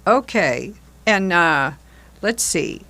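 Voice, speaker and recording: A woman's voice: lowish voice, very animated, slow pace, vocal fry, sharp tone. An older woman, a community theater member, talking casually in an interview in loose, chatty explanations. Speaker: member and performer in a seniors' readers theater group.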